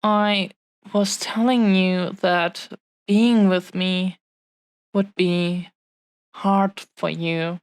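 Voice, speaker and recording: A woman playing a tender, possessive dragon-blooded fiancée in an intimate romantic audio roleplay, speaking haltingly with lingering, breathy pauses. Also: fairly low pitch, steady tone, no room sound. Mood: earnest, devoted.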